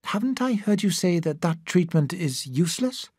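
A gentle young wife, soft and questioning, as a male reader puts her on. Her voice is raised high with lively swings. Close, dry reading. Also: normal speed, slightly rough, no room sound, full character voice. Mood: doubtful.

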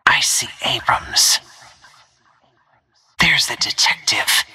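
A woman playing a stealthy, cool-headed assassin in a video game, giving terse, controlled callouts in a hushed, husky whisper. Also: extremely low pitch, slow pace, no room sound, exaggerated voice. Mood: hushed, watchful.

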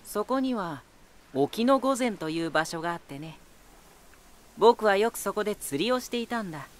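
A male actor playing an ancient but youthful lighthouse spirit, gentle and easygoing, soft and familiar in an anime-style voice drama. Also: high voice, animated, normal speed. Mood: nostalgic, easygoing.

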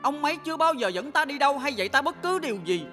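A lonely little boy prince of the dwarfs in a kids' cartoon. The voice is high and plaintive, talking fast in a reverberant space. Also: animated, fairly bright tone. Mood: hurt, sulky. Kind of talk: dubbed children's cartoon acting. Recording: hall reverb, music behind.

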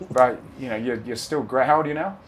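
A man's voice: mid-range voice, fast, a little hiss or hum, low-quality recording. A man interviewing a guest outdoors, asking short questions and offering encouraging comments. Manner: affirming, brief interjections and questions. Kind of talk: conversational interviewing. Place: outdoor patio, seated.

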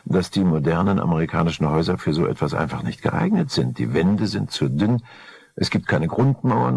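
A man's voice: low voice, creaky, a little mellow, husky, heavily acted. A man voicing a discreet professional architect, matter-of-fact and expert, in an audiobook crime story. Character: discreet professional architect, skeptical yet keen.

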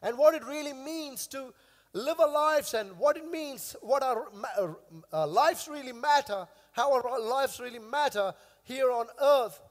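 A man in his thirties, a pastor with a hoarse, worn voice, preaching emphatically on a church stage into a headset mic, repeating key phrases. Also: very high voice, expressive, measured pace, in a large hall. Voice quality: coughing now and then. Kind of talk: sermon. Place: large church stage with long reverb.

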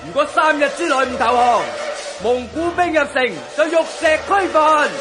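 An actor playing a threatening army herald calling out a proclamation in a historical radio drama. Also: male voice, very high-pitched, normal speed. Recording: crowd and loud music, distant.

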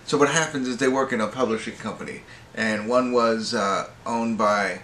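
A middle-aged American man giving a casual, unscripted movie review in a halting, rambling way, often correcting himself. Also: on the low side, a bit bright. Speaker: amateur movie reviewer and host. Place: small indoor wood-panelled room at home. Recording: roomy echo with faint background noise.

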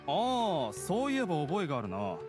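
A friendly young townsman from an anime game. His fairly high voice swings animatedly in a casual, concerned way, with music clearly behind. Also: huge pitch swings, fairly quick. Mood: helpful, concerned. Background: background music.

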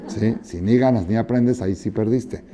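A man in his sixties gives a Torah lesson from a lectern in a study hall, with a low, mellow voice. He talks expressively, acts out parables in dialogue and keeps checking that his listeners follow. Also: normal speed. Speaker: rabbi teaching a class. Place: study hall with wooden chairs, indoors.

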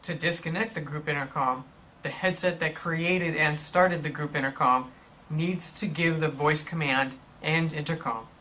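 A man reading scripted instructional narration in a measured, explanatory manner for a product tutorial. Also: a little high, fairly even intonation, sharp tone, a little hiss or hum.

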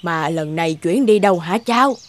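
A woman voicing a kind elderly grandmother who speaks slowly and softly in an animated fairy tale. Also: fairly low pitch, lightly acted. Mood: gentle. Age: older. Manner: slow, soft, laughing. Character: kind old grandmother, slow and gentle.

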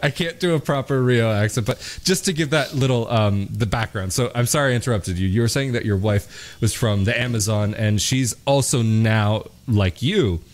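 A man's voice: medium pitch, moderate pace, hardly any pauses. A young man with a Portuguese accent hosting an interview podcast, talking at length with fillers into a studio microphone. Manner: chatty, explains at length with fillers. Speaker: podcast host. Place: home studio, in front of a picture backdrop. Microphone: studio mic on a boom, with headphones.

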